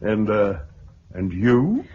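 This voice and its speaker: A man's voice: fairly low pitch, big pitch swings, slow pace. A man with a British accent playing an officious, bureaucratic colonel with a clipped, formal manner in a 1950s radio drama. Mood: officious, brisk. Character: officious, bureaucratic English colonel taking particulars. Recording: muffled, hissy 1950s radio recording.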